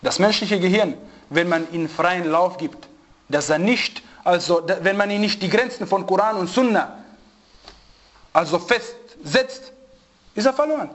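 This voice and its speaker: A man giving an informal religious lesson to a small group, telling anecdotes with animated, repetitive phrasing. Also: high-pitched, unhurried, muffled recording. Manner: animated storyteller, repeats and restarts phrases. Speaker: religious teacher.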